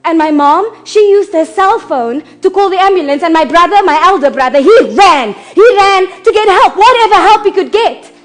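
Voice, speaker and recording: Woman acting a very high-voiced, shaken child storyteller. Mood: urgent, distressed. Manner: halting, repetitive, emotionally intense delivery. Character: shaken child earnestly recounting a violent night at home. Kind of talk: dramatic storytelling monologue.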